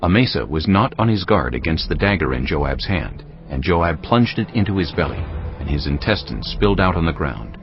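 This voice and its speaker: A man's voice: sits low, sing-song, normal speed. A man reading scripted narration for a dramatized audio Bible. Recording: dull band-limited audio with music bed.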